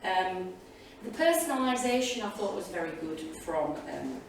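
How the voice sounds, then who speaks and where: A woman's voice: on the low side, very animated, moderate pace. A woman teacher giving informal, enthusiastic and rambling reflections to an audience as a panelist. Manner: chatty, enthusiastic, digressive. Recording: echoey hall, distant mic, loud room noise.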